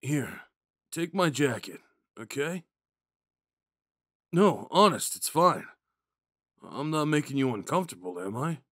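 Man acting a slow-talking drunk himbo jock. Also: mid-range voice, big pitch swings, fairly bright tone, no echo, studio quality. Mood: flirty, concerned. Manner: drunken, halting, goofy, rambling. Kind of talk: ASMR roleplay acting, speaking to the listener.

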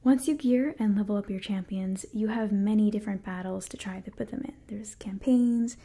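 A woman in her twenties giving an enthusiastic ASMR sponsor read in a soft, breathy whisper, close to the microphone. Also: medium pitch, moderate pace. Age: 20s. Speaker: ASMR creator and host.

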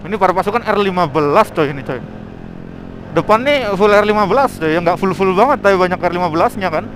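A man chatting casually and excitably in slangy style as he vlogs while riding outdoors. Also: sits high, fast, a little hiss or hum. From Indonesia. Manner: excitable, exclamatory, interjection-filled. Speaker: motovlogger. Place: outdoors, riding a motorbike on a forest mountain road.

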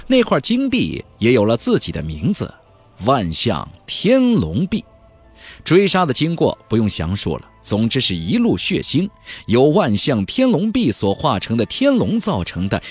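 A man's voice: lowish voice, slightly rough. A man narrating a fantasy audiobook in a dramatic, theatrical and emphatic storytelling style. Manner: theatrical, emphatic, with exclamatory flourishes.

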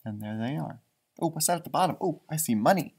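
A man's voice: fairly high pitch, fast talker, gravelly, slightly rough. An American man in his thirties reading a children's storybook aloud expressively, with chatty asides. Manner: animated, commenting on pictures. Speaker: storybook reader for schoolchildren. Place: indoors, small library room.

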